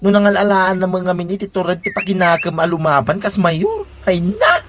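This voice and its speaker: An actress with an Ilocano accent playing a gossipy, skeptical, sharp-tongued woman in a comedy radio drama. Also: on the low side, animated, brisk, very noisy, natural voice. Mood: mocking.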